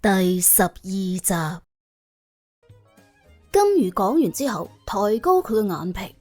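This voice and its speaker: A woman narrating an audiobook in colloquial Cantonese, telling the story in a wry, dramatic, expressive way. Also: medium pitch, takes it slowly, soft background music.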